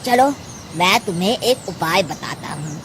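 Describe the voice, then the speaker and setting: A woman voicing a calm, agreeable husband in a cartoon family story. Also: fairly low pitch, a little slow, voice pushed down. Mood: thoughtful. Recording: very noisy track.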